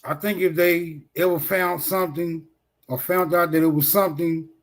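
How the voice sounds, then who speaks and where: A man offers a measured opinion in a casual group talk. His voice sits a little high for a man.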